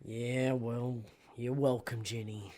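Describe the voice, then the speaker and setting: A man playing an earnest, soft-hearted damned soul in an audio drama, hesitant and apologetic. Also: medium pitch, level tone, takes it slowly, lightly acted. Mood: sheepish.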